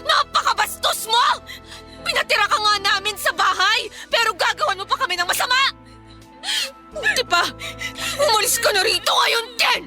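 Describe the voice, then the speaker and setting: An actress playing an angry young woman, a fiercely protective elder sister, loud and scolding in a Filipino radio drama. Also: very high voice, animated, normal speed, soft background music, full character voice. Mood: furious.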